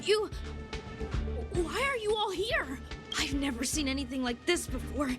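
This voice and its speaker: Very high-pitched, flustered game subordinate. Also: female voice, big pitch swings, measured pace, music in the background. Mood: alarmed. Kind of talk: video game voice acting.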